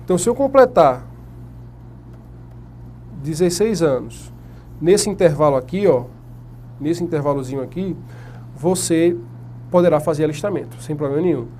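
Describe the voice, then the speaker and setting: A man's voice: on the high side, expressive, moderate pace, long pauses, dark tone, slight background noise. A man with a Brazilian Portuguese accent giving an exam-prep lecture at a whiteboard. He asks the class questions and answers them himself. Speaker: teacher.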